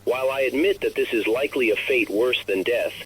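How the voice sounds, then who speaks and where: A man playing a grave narrator of a recorded message to the last human, speaking in a solemn, measured, formal way for an analog horror story. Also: mid-range voice, fairly bright tone, a little hiss or hum, natural voice. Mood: solemn, reassuring.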